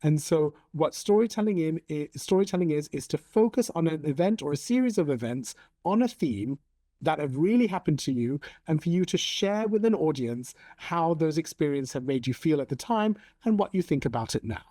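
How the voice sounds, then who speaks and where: A man in his forties, raised in Hong Kong, speaking as an interview guest in a measured, thoughtful way with frequent ums. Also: fairly high pitch, animated, warm tone, dry recording. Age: 40s. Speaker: interview guest, storytelling coach. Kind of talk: conversational interview.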